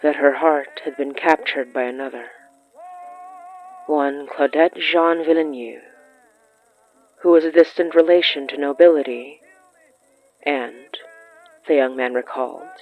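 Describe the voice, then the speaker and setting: A narrator reading scripted period fiction in a measured, deliberate way with pauses. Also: mid-range voice, steady tone, narrow, tinny audio. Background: background music.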